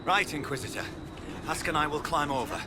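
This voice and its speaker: Cocky warrior climber played by a man, game banter, higher pitched, swinging intonation, music behind. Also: expressive, fairly quick, noisy background, natural voice. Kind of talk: video game dialogue.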